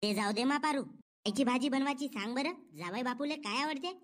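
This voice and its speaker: A man's voice: very high voice, brisk, a bit bright. A man with a rural Maharashtrian accent voicing a middle-aged village mother in a comedy cartoon, soothing, peacemaking and inquisitive. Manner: placating, motherly.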